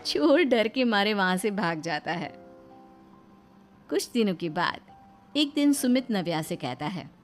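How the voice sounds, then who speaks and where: A woman's voice: medium pitch, very animated, unhurried, pauses often, soft background music. A woman reading scripted narration as a storyteller.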